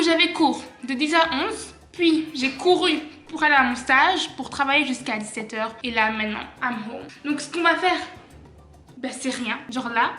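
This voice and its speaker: A woman's voice: sits high, bright, forceful tone. A young woman from Belgium vlogging casually at home, tired and chatty. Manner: chatty, tired, says genre a lot. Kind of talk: casual vlog chat.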